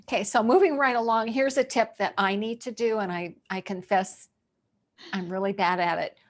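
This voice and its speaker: A woman's voice: mid-range voice, moderate pace. A woman interviewer talking casually and asking questions in an informal, curious way.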